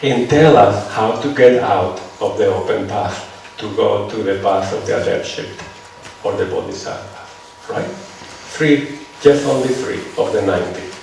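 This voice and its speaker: A man's voice: fairly low pitch, a little slow, vocal fry, darkish tone. A man from Venezuela with a Venezuelan Spanish accent, giving a seminar lecture in English and often checking that listeners follow him. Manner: conversational, checks on listeners with "right?". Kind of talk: lecture with audience questions. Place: lecture hall. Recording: echoey hall, mic far off, faint noise.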